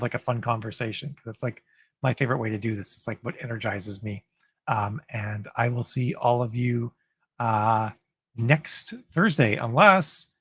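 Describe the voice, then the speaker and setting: A man hosting a writers' livestream in an upbeat, chatty way, full of fillers and restarts, his voice getting raspy from long talking. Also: mid-range voice, a bit bright, dry recording. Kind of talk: casual livestream chat answering viewer comments.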